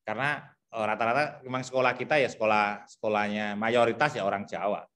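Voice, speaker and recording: A man with a thick Javanese accent explaining teacher competency criteria to colleagues, repeating himself and filling pauses as he thinks aloud. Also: fairly low pitch, fairly even intonation, fairly bright tone. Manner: repetitive, thinks aloud with many fillers.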